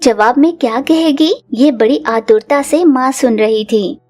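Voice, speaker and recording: A woman narrating a cartoon story in a steady, scripted way. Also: high voice, measured pace, faint music behind.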